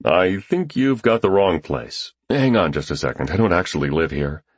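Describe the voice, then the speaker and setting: A man's voice: sits low, very animated, normal speed, breathy edge, dry recording, heavily acted. A man voicing an easygoing, joking family friend in an audiobook, casual and offhand. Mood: casual, puzzled.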